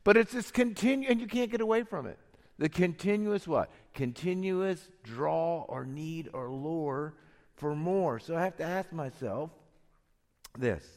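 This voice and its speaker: Man preaching with a cold-deepened voice. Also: a little slow, a little mellow, big-room sound. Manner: conversational storytelling preacher, chuckles often. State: has a cold, deeper voice than usual. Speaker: pastor. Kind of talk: sermon.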